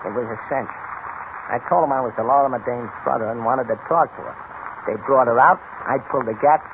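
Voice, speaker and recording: A man's voice: mid-range voice, fairly quick, lightly acted. A man playing a boastful gangster henchman with slangy tough talk in an old radio serial. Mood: cocky. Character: cocky, slangy male henchman. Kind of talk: 1940s radio serial acting. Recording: tinny, noisy vintage radio recording.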